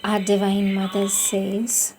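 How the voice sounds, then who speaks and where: A woman's voice: medium pitch, completely flat delivery, slow, darkish tone, a little hiss or hum. A woman giving a devotional reading of spiritual texts in a solemn, emphatic manner. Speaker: reader of spiritual writings. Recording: music playing behind the voice. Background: background music.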